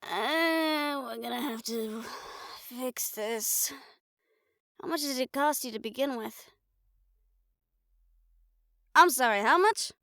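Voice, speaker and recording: A woman playing a caring, blunt, teasing young girlfriend in an improvised audio roleplay, chatting casually and haltingly to the listener. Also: sits high, creaky, fairly bright tone, dry recording, clean studio sound, full character voice. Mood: worried, hesitant. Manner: casual, halting, playful swearing, affectionate teasing.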